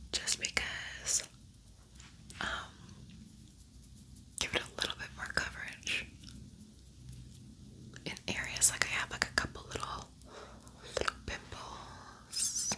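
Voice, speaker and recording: Whispering woman doing casual ASMR. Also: pushed and bright. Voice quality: breathy, unvoiced whisper. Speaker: ASMR beauty vlogger. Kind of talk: whispered ASMR makeup tutorial, casual chat.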